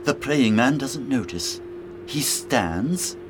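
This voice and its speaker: An actor playing an observant, commanding and concerned gentleman in an audio drama, speaking precisely with authority. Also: mid-range voice, animated, slow pace, background noise. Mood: observing, intrigued.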